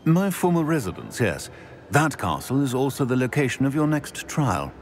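An actor playing a grave, formal, commanding old wizard in a video game. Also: male voice, medium pitch, sing-song, a little hiss or hum, natural voice. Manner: formal, measured, solemn. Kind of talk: dramatic video game cutscene acting.

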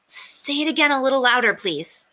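A woman's voice: fairly high pitch, expressive, normal speed, sharp tone, pitched above the performer's own voice, low-quality recording. A woman voicing an eager, imaginative, polite young boy in a storybook. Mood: apologetic.

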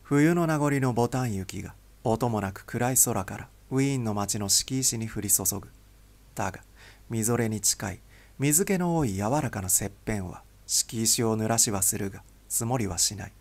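A man's voice: fairly low pitch, expressive, normal speed. A man reading a novel aloud as a scripted, dramatic audiobook narration.

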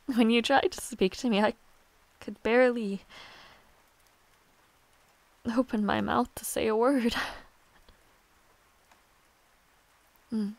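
A woman playing a young noble villainess in a romantic audio roleplay, proud yet shy and tender, speaking softly in formal, halting phrases. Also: fairly high pitch, gravelly, no echo. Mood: wistful, soft.

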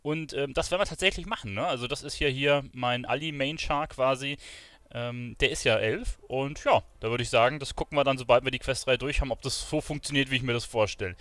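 A man's voice: mid-range voice, brisk, a bit bright, no echo. Casual man commenting on his gameplay. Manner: informal, colloquial, thinking aloud. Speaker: streamer playing an online role-playing game.

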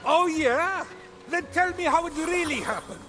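A man's voice: very high-pitched, measured pace. An actor voicing a petulant, boastful king of the gods in a comic video game, theatrical and full of indignant bluster. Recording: music behind the dialogue.

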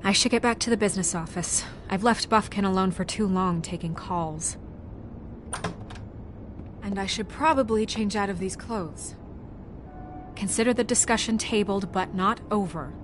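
Game casting: actress as stern young deputy mayor woman, mid-pitched voice, measured formal delivery, prominent score behind. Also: lightly acted. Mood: businesslike. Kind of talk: video game voice acting. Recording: music behind the voice.

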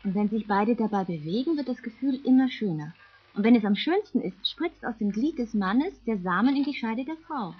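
A woman's voice: on the high side, animated, brisk, slightly rough. A woman playing a patient, frank, affectionate mother in an educational radio play for children, explaining things plainly on a lo-fi tape. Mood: gentle, brisk. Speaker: actor in a children's audio play. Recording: dull lo-fi tape recording.

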